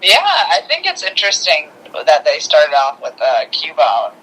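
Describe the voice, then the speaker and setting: A woman co-hosting a podcast over an internet call, chatting about a film in an informal, halting way. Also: low voice, wildly swooping pitch, a bit bright, breathy edge, poor audio. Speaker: podcast co-host. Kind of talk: casual film review chat. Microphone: remote internet call.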